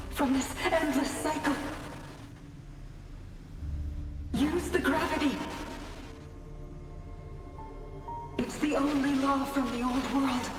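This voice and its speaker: Game role: trapped, sorrowful shadow woman, earnest and solemn, echoing voice over prominent music. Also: high-pitched. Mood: pleading. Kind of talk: video game voice acting. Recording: roomy echo, music behind.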